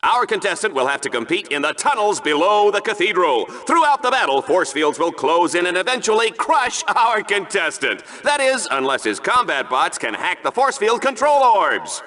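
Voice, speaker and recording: A man's voice: high-pitched, very animated, moderate pace, hardly any pauses, sharp tone, heavily acted. A man playing a smarmy, gleeful announcer of a deadly combat sport in a video game, with showy, mock-dramatic delivery. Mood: dramatic hype. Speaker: sports show host.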